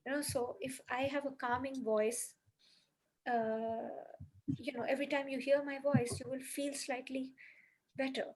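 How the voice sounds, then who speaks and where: A woman's voice: high voice, little pitch movement, unhurried, a little mellow, low-quality recording. A woman on a podcast earnestly explaining her music healing method step by step, with many "you know"s.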